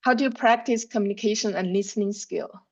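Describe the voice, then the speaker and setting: A woman moderating a webinar, reading the audience's questions aloud quickly and briskly over a video call. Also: medium pitch, darkish tone, highs cut off.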